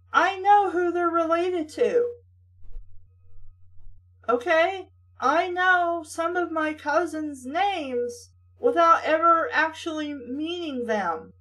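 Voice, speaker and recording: A high-voiced woman reads a story aloud and keeps stepping out for sardonic, sweary remarks. Her delivery is slow and casual, recorded a little away from the microphone. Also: a bit bright, recorded from a distance. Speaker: reader and commentator. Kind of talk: story reading with sarcastic casual commentary.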